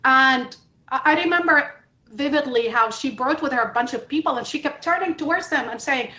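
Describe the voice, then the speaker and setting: A woman speaks in a fairly high voice with emphatic, deliberate delivery, like a journalist testifying on a panel. She is from the Middle East. The room around her is clearly echoey. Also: sharp tone. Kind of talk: panel testimony, impassioned political talk.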